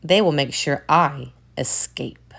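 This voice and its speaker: Female teacher, storybook read-aloud; low, gravelly voice with lively swings, unhurried; hushed asides. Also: noisy background. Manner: expressive, drops to hushed whispered asides. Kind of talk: children's book read-aloud.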